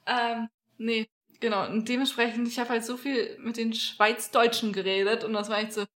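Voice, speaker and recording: A young woman co-hosting a casual podcast, relaxed and teasing. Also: on the high side, normal speed. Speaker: podcast co-host. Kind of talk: casual chat.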